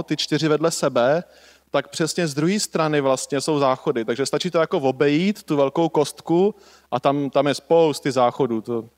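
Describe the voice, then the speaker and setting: A man's voice: mid-range voice, smooth. A man in his thirties hosting a conference, speaking into a handheld mic in a large lecture hall, loose and chatty with many fillers. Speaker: conference host. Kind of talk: casual welcome speech. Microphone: handheld microphone.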